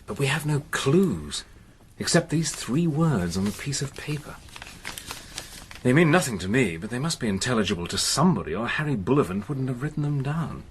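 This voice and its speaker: A man's voice: mid-range voice, very animated, moderate pace, a little hiss or hum, lightly acted. A man playing a resolute army major. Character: steady British army major, resolute narrator. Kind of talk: radio drama acting with narration.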